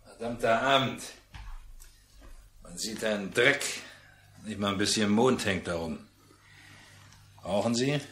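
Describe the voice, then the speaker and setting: An actor in a German radio play playing a pushy, jovial, slippery trader who wheedles in a chatty, condescending way. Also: male voice, lowish voice, a little slow, pauses often, fairly bright tone, a little hiss or hum. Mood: breezy grumbling.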